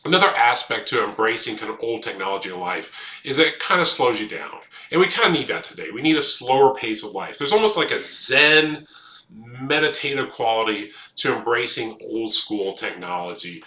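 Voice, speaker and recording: A middle-aged man hosts his own video channel with a bright, forward voice. He offers casual advice in plain words, feeling his way through sentences without a script. The room adds a noticeable echo. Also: mid-range voice, very animated, normal speed. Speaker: host of a history advice channel. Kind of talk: casual talk to camera, sharing advice. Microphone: desktop webcam setup. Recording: roomy, echoing room sound.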